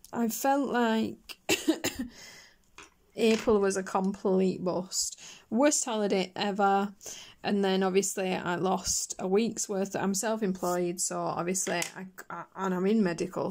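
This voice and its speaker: A woman chatting casually on her colouring channel, her voice hoarse and croaky after a chest infection, rambling with fillers and coughs. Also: mid-range voice, a little mellow. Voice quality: husky. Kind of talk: casual chat while colouring.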